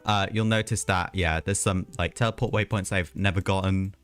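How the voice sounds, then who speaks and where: A man's voice: low voice, steady tone, moderate pace, fairly bright tone, faint music behind. A man live streaming a video game, chatting casually in a rambling way with hesitant asides.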